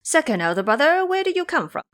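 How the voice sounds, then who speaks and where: A woman's voice: sits high, sing-song, fast, a bit bright, pitched above the performer's own voice. A woman voicing a humble, dutiful male monk in an audio drama, earnest and deferential. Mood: questioning.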